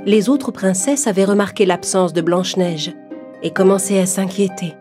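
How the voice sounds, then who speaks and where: A woman's voice: medium pitch, normal speed, a little mellow. A woman narrator reading a scripted fairy tale for children. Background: background music.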